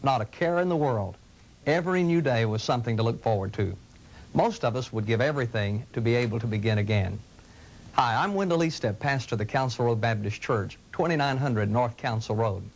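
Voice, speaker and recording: A middle-aged man, a pastor, speaking in an earnest, gentle, inviting tone in scripted television ads from the 1980s. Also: medium pitch, animated, fairly quick, poor audio. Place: studio sets and church rooms, indoors. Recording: 1980s local TV ad audio.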